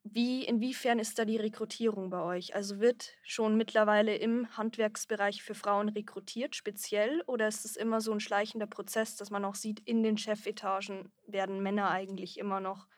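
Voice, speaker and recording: A woman's voice: medium pitch, little pitch movement, normal speed, few pauses, dry recording, clean studio sound. A woman co-hosting a podcast interview, asking long, wordy questions. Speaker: podcast co-host.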